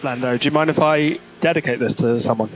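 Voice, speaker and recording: A man working as a race engineer, giving clipped, calm, repeated instructions over team radio. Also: mid-range voice, fast. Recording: noisy motor racing radio, engine noise. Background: car engine noise.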